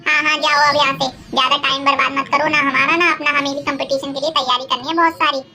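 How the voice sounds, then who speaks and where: A woman playing a bright, high-pitched greedy mother-in-law. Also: flat delivery, soft background music. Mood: bossy, impatient. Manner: bossy, insistent, quick to take offence. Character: greedy, scheming, miserly older mother-in-law. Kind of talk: Hindi cartoon moral-story voice acting.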